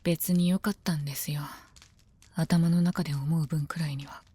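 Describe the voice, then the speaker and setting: A woman playing a composed, devoted female knight of common birth in a Japanese voice drama, speaking calmly, politely and softly. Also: sits low, moderate pace, slightly rough. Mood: resigned, composed. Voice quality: calm, composed. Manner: polite, deferential, soft-spoken. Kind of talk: Japanese voice drama, intimate scripted dialogue.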